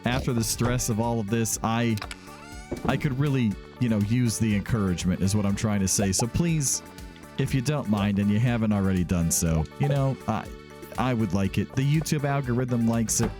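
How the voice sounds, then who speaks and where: Male let's play host, slightly low pitch, casual and rambling, self-deprecating, music clearly audible underneath. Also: moderate pace, dark tone. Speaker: gaming YouTuber hosting a let's play. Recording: music behind the voice. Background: background music.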